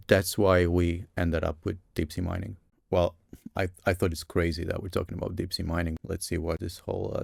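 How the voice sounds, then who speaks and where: A man's voice: sits low, vocal fry, a little mellow, no echo, clean studio sound. A man in his thirties from Romania, a guest on a podcast, answering questions in a thoughtful, emphatic way and repeating his key points. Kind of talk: conversational interview answers.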